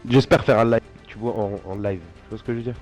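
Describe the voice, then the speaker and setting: A man's voice: mid-range voice, fast talker, a little mellow, soft background music. A young man with a cold, playing a shooter and chatting in a rambling, slangy, laid-back way. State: stuffy with a cold. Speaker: guest player. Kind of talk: casual gaming chat. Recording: game sounds clearly behind.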